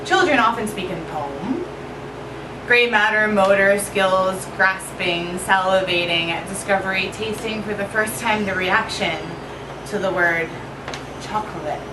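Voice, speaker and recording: A woman poet giving an expressive live poetry reading at an evening event. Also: unhurried. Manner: expressive, shifting pitch and pace for effect. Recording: roomy echo from far off with noise.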